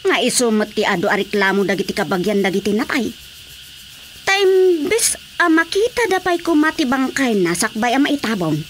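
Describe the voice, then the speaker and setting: A high-voiced Ilocano village woman, chatty and concerned. Her pitch swings widely as she talks in radio drama style, with clear noise behind her. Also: big pitch swings, moderate pace. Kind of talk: Filipino radio drama acting.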